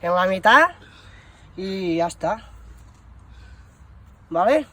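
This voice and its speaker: A high-pitched, slow-talking man acting as a bumbling promotions employee. He rambles through a prank phone call with hesitant stammers and casual slang. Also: animated, pushed and bright, full character voice. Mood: cheeky, deadpan. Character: bumbling shoe shop promotions employee announcing a prize. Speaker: prank caller.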